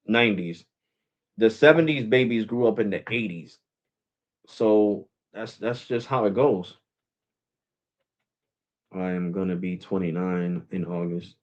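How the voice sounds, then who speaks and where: A man's voice: fairly low pitch, takes it slowly, long pauses. Rambling man in his forties, lo-fi sound. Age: 40s. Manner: rambling, says "bro" and "guys" a lot; switches to Spanish with family. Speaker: live stream host. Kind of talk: casual live stream chat.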